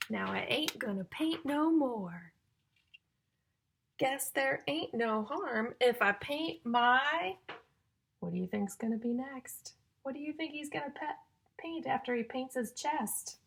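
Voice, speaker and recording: A woman with a fairly high voice leads a children's story time. She reads in an exaggerated sing-song, stretching words out at an unhurried pace and turning to her young listeners with questions. Some background noise sits behind her. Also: animated, vocal fry, a bit bright. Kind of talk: children's picture book read-aloud with questions and explanations.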